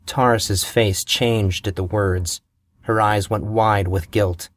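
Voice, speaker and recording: Slow, low-voiced male narrator. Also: dry recording. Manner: measured, steady storytelling. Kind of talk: audiobook narration of a fantasy novel.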